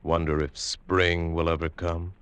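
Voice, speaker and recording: A man announcing and setting the scene of a vintage radio drama in an ominous, deliberate way. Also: sits low, little pitch movement, a bit bright, smooth, no room sound. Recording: old radio broadcast over music.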